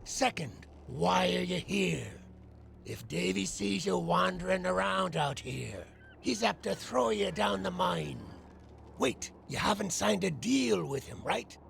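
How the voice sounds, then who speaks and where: Scruffy game pirate, real croaky and high, talks in old sea-dog slang, noisy background. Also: male voice, fairly bright tone, full character voice. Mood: suspicious, sly. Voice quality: gravelly. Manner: old-fashioned pirate dialect. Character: scruffy, bargaining pirate castaway on a cursed island. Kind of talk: video game character acting.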